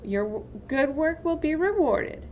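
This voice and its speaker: A woman reading a storybook voices a tall female camp warden, cold and commanding, with slow, menacingly polite speech. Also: high voice, sing-song, a little mellow, muffled recording, a little hiss or hum, pitched above the performer's own voice. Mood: curt, commanding.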